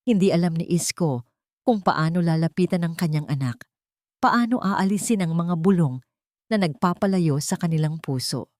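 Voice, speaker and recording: A woman narrates a dramatic love story in a fairly low voice. The recording is dry and close, with no room around her scripted storytelling. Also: lively intonation, moderate pace, darkish tone, dry recording.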